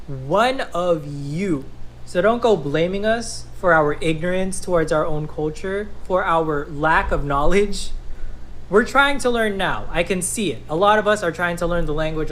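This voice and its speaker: A man's voice: a little high, expressive, normal speed, a bit bright. An American man delivers a pointed monologue in a confrontational way. He leans hard on emphatic rhetorical questions. The clip carries a faint layer of noise. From United States. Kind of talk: argumentative monologue to camera.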